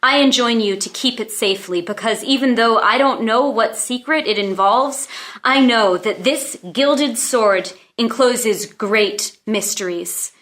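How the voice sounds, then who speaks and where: A woman's voice: fairly high pitch, unhurried, fairly bright tone. A woman playing a proud young noblewoman in disguise, formal and earnest in a play read-through. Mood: solemn resolve.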